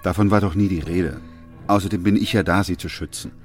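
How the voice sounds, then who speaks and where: Lead for radio play: male actor as composed doctor-narrator, extremely deep pitch, sober delivery over music. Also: fairly even intonation, fairly quick, close to an everyday voice. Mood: calm. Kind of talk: literary radio drama narration and dialogue.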